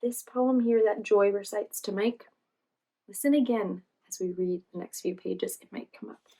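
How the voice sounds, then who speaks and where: Woman, storytime host, expressive picture book reading, encouraging, questioning, pauses for young listeners. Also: medium pitch. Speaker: read-aloud storytime host, teacher.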